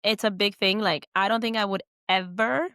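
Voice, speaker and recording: A woman's voice: medium pitch, fairly even intonation, normal speed, bright, forceful tone, clear, resonant tone, dry recording. Woman podcast co-host, chatty and rambling, dry close recording. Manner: chatty, many fillers and restarts. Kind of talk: casual chat.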